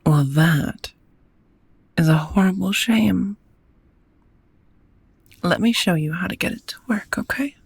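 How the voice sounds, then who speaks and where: A gentle, courteous vampire roommate, acted by a woman, murmurs in a very breathy half-whisper for an intimate ASMR roleplay. She takes her time, tender and a little formal, with a creaky edge to the voice. The sound is close and dry. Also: lowish voice, no echo, heavily acted. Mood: patient, amused. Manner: unhurried, softly formal, tender.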